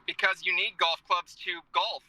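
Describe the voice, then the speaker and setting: A man's voice: sits high, steady tone, moderate pace, sharp tone, rough recording. A man hosting a casual talk show from inside his car, joking and rambling with a groggy voice after a day of work. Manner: joking, chatty, self-interrupting.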